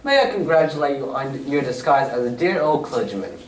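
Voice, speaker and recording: A man playing a confident Victorian gentleman detective in a radio drama read on stage, with formal, old-fashioned phrasing. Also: mid-range voice, lively intonation, brisk, natural voice. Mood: reading, admiring. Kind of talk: 1940s-style radio drama stage acting. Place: community theatre stage reading. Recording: echoey hall, distant mic, faint noise.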